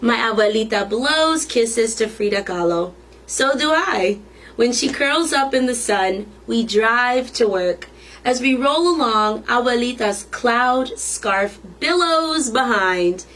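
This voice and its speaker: A woman's voice: on the high side, animated, slow pace, a bit bright, poor audio. A woman reading a children's picture book aloud as a storytime reader, expressively and with questions to the listeners.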